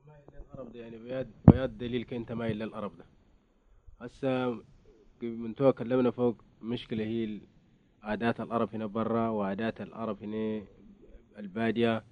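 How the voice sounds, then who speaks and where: A man interviewing in a casual conversation, talking quickly with frequent restarts. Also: lowish voice, little pitch movement. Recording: dull lo-fi recording with faint noise.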